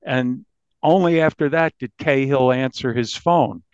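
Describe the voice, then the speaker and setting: Halting male journalist, dry dull recording. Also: mid-range voice, smooth, no room sound. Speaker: investigative journalist being interviewed. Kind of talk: conversational interview account.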